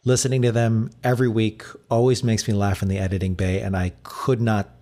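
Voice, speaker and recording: A man's voice: on the low side, little pitch movement, fairly quick, mellow. A man who edits the show, guest co-hosting and trading crude jokes with easy laughter. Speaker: editor and guest co-host. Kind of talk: casual comedic chat.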